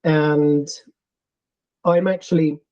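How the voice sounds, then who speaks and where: A man's voice: a little high, steady tone, drawn-out words, muffled recording. A young adult man with a London accent, a filmmaker answering interview questions with many ums and restarts. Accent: London English. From England. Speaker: filmmaker guest.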